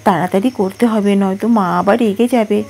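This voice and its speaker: A woman voicing a kind, patient young girl who pleads softly and sweetly. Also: medium pitch, steady tone, a little fast, slightly rough, soft background music. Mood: tender.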